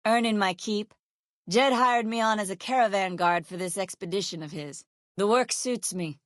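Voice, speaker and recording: A woman's voice: medium pitch, moderate pace, a bit bright, dry recording, clean studio sound, natural voice. A woman playing a foul-mouthed, sardonic ex-sheriff turned caravan guard in a video game, blunt and folksy in her speech. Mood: weary, matter-of-fact. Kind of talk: video game character voice lines.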